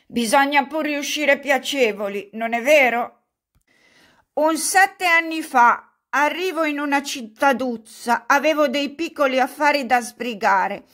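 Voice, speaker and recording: A woman doing an old male buffoon from an audiobook, effusive and clownish. He talks loudly and at length, somewhat high in pitch. His delivery is slow and drawn out. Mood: fawning. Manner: loud, effusive, self-mocking clowning.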